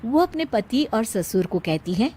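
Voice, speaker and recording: A woman with a fairly high-pitched voice narrates a cartoon moral tale. She delivers it as a scripted storyteller, telling a domestic tale for viewers. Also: sing-song, measured pace, mellow, slightly rough, dry recording.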